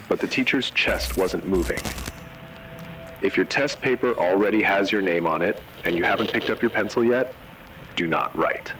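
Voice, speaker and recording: Deep grave announcer guy man acting it, eerie horror warnings, bit of noise behind. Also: fairly bright tone, poor audio. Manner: measured, ominous, short solemn sentences. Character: grave male emergency broadcast announcer issuing eerie warnings. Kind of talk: analog horror scripted narration.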